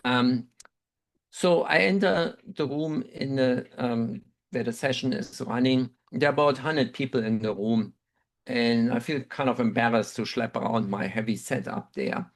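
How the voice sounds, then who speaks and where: German-accented man telling a story. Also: medium pitch. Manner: measured, reflective, with frequent ums. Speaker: webinar speaker, dental researcher. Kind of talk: personal storytelling talk.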